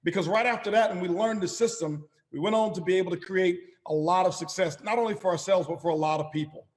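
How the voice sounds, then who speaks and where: A middle-aged man with a slightly raspy voice, hosting a motivational sales call as company chairman, emphatic and repeating his phrases. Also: sits high, fast, long reverb tail. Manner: emphatic, repeats phrases, addresses listeners as ladies and gentlemen. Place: calling in by phone, not a studio.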